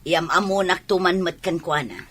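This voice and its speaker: Husky, nagging village mother, acted by a woman. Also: fairly low pitch, moderate pace, fairly bright tone. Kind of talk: Ilocano radio drama acting.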